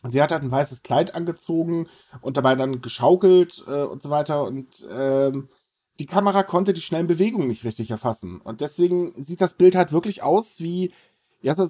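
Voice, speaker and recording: Male podcast host, higher-pitched, casual and wordy news chat. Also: a little mellow.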